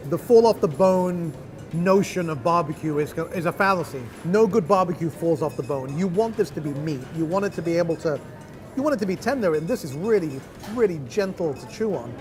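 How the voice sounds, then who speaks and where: A man with a high voice hosts a food show and talks through each bite of barbecue with keen, descriptive enthusiasm. He is recorded outdoors in a city, with music playing clearly behind him. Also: lively intonation, brisk. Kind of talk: food tasting commentary.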